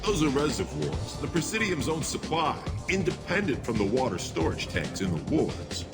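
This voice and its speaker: An actor playing a dry, put-upon space station groundskeeper in a video game. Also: male voice, on the high side, very animated, moderate pace, loud music behind the voice. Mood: wry. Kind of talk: video game character acting.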